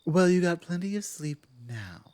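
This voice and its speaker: A woman playing a dominant but softening werewolf pack leader in an ASMR roleplay, speaking in a measured, earnest way with thoughtful pauses. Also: lowish voice, very animated, no echo. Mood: calm, solemn. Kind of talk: ASMR roleplay, close one-on-one address.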